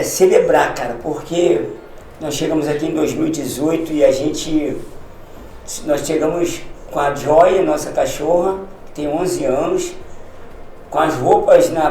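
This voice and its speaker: A man, a missionary guest, speaking in casual conversation, halting and emotional, often restarting his sentences. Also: on the high side, warm tone, distant mic, a little hiss or hum. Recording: echoey room, somewhat off-mic.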